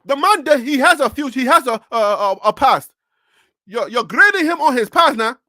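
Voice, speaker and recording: A scolding, exasperated pundit with a Nigerian accent, put on by a sports talker, who barks short indignant questions. The voice sits high and bright, and the words come out loud and sharp. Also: expressive, moderate pace, no echo, clean studio sound.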